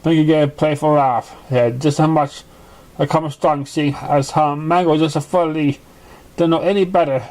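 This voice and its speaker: A man reading a story aloud as narration, halting and often stumbling over the words. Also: on the high side, steady tone, a little mellow. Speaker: amateur fan fiction reader.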